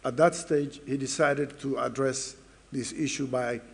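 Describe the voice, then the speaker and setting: A soft-spoken man with a low voice, making formal remarks as a panelist. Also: fairly even intonation, measured pace. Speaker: foundation representative on a panel.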